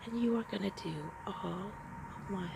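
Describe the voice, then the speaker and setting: A woman playing a seductive, menacing female demon in a dark roleplay, speaking in a breathy, creaky whisper with a taunting, possessive manner. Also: mid-range voice, steady tone, heavy background noise. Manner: slow, drawn-out, taunting, possessive. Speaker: roleplay audio performer.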